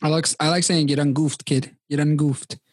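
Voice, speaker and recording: Man, New York accent, laid-back slang, husky voice, dry mic. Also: a little high, fairly even intonation, moderate pace, no room sound. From Queens, New York. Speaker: podcast host. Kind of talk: casual chat.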